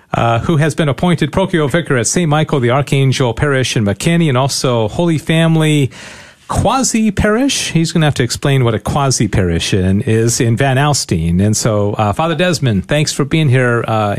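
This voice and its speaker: A man hosting a radio show, introducing guests in a chatty way with many fillers. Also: mid-range voice. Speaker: radio host. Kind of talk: radio interview.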